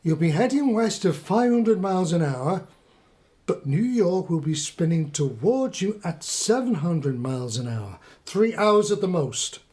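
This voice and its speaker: Earnest British husband, lively pitch. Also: male voice, unhurried. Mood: eager, argumentative. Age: middle-aged. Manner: earnest, pedantic explaining. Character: earnest middle-aged husband, newly converted conspiracy believer. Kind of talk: radio play acting.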